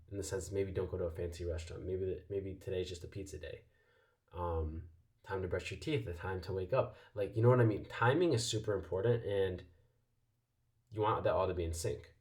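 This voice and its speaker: A young man talks in a tired, low voice. He rambles loosely through a casual faith vlog, full of fillers and restarts, his pitch sitting deep in his chest. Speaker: YouTuber.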